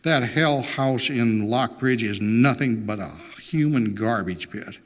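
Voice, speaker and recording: A man's voice: medium pitch, slow pace, big-room sound, natural voice. A man voicing an earnest, morally insistent wife in a storybook reading, impassioned and pleading with her husband. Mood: impassioned, indignant.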